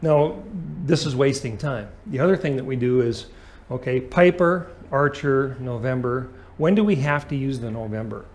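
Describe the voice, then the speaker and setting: A man's voice: medium pitch, normal speed, hardly any pauses, a little mellow. Male flight instructor explaining step by step. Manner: explanatory, checks understanding with short questions. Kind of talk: hands-on aviation lesson.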